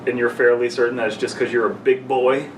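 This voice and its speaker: A young man with a mid-pitched voice reads and banters casually as a podcast co-host, with crude joking. The sound is roomy and distant, with clear noise underneath. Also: little pitch movement, brisk. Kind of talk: casual banter and story read-aloud. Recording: echoey room, distant mic, noisy.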